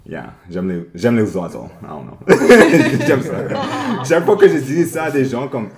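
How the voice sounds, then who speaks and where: A man from Montreal talking as a guest in a casual interview, halting often, restarting phrases and slipping into English words. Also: medium pitch, big pitch swings, crowd noise. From Montreal, Canada.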